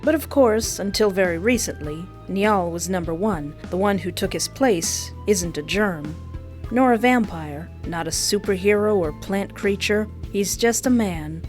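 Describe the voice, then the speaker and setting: A woman's voice: mid-range voice, measured pace, a little mellow. Dramatic woman narrating a countdown over music. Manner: dramatic, emphatic storytelling. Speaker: host of a countdown video.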